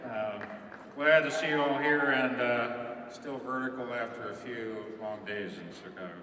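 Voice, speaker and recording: A man hosting a literary event, welcoming the audience in a relaxed way. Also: medium pitch, moderate pace, background noise. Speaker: event host and department chair. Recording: echoey hall from far off with music.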